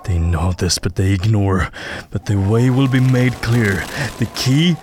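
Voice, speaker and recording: A man playing a dazed, obsessed mystic haunted by visions in an audio drama, speaking in halting, cryptic, trance-like phrases. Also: fairly low pitch, noisy background, close to an everyday voice. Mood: entranced.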